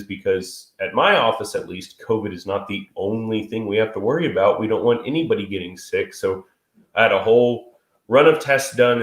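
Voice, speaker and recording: A man in his thirties co-hosting a live stream in casual, crude, joking chat, stuffy and sniffly from a cold. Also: lowish voice. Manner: crude, joking, swears freely. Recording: echoey room.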